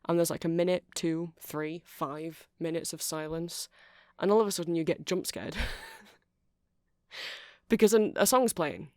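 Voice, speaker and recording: A woman's voice: fairly low pitch, fairly even intonation, unhurried, darkish tone, dry recording, studio quality. A woman giving a rambling, chatty ASMR demonstration, explaining things step by step. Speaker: ASMR creator.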